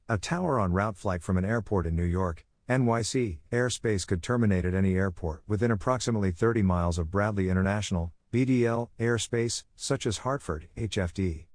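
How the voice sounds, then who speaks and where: A man reading a technical handbook aloud as scripted audiobook narration, in a measured, instructional manner. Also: low voice, a little mellow, dry recording, studio quality.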